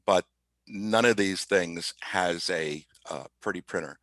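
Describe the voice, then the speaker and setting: A man explaining software informally as an interview guest, methodical and somewhat halting in his delivery. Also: deep voice, dry recording, studio quality. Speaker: podcast guest, IT specialist. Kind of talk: informal software demo talk.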